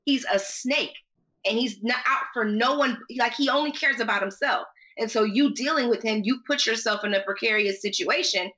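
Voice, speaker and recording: A woman's voice: a little high, normal speed, sharp tone. A young American woman co-hosting a casual TV recap, talking in an emphatic, opinionated, conversational way over a video call. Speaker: podcast co-host. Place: small home room indoors. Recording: slightly dull video-call audio.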